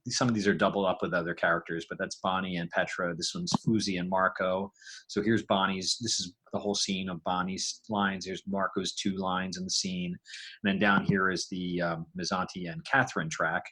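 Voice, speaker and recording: A man giving an informal tutorial, talking haltingly and thinking aloud as he demonstrates his work. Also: low-pitched, flat delivery, sharp tone, a little hiss or hum. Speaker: podcast creator demonstrating sound design.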